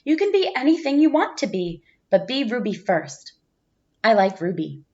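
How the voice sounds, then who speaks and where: A woman reading a storybook as a kind, gentle schoolteacher with an encouraging, measured manner. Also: on the high side, sing-song, lo-fi sound.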